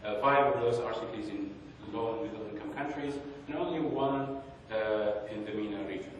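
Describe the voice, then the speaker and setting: A young man from northern Iraq presents a research talk in a fairly low voice, pausing often and restarting his sentences. He is picked up from a distance in a large hall, with strong echo, a long reverb tail and a faint hum underneath. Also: muffled recording. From Kurdistan, northern Iraq. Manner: hesitant, with many pauses and restarts. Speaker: conference speaker. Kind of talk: academic presentation. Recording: echoey hall, distant mic.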